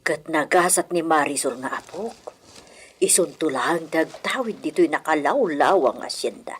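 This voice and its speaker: An actress playing a brooding, secretive elderly wealthy matriarch with a husky voice, in radio drama style. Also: female voice, low-pitched, animated, normal speed. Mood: wistful. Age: older.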